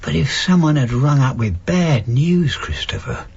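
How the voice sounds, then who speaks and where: Raspy, gentle old husband. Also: male voice, medium pitch, expressive, unhurried, muffled recording, full character voice. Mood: tender. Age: older. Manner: gentle, hesitant. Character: elderly working-class husband, gentle, hesitant, loyal. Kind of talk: naturalistic radio drama acting.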